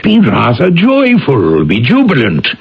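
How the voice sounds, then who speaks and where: An actor playing a theatrical old bachelor count in a radio drama, speaking in grandiose, deliberate, coaxing phrases. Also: male voice, medium pitch, wildly swooping pitch, husky, full character voice. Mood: fervent, insistent. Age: older. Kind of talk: 1980s radio drama acting. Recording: muffled, lo-fi radio drama recording.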